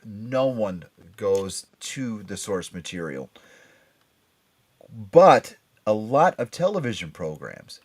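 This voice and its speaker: A man hosting a casual panel show, bantering and introducing topics, often repeating phrases for emphasis. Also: fairly low pitch. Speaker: podcast and radio show host.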